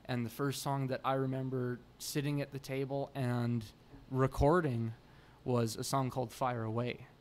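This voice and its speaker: A young adult man, a musician guest in a podcast interview, talking earnestly in animated bursts with many restarts, at a table mic in a small studio room. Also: mid-range voice, moderate pace, a little hiss or hum. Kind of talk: casual interview chat. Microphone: boom mic on the table.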